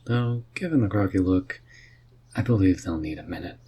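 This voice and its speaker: A man playing a laid-back, wry and curious male mage in a fantasy roleplay, musing and gently teasing as he talks. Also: deep voice, moderate pace, a little hiss or hum, close to an everyday voice. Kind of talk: roleplay audio drama addressed to the listener.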